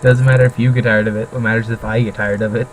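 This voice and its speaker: A man's voice: on the low side, level tone, racing, slight background noise, rough recording. A man in casual banter as a heckling co-host, teasing with crude humour.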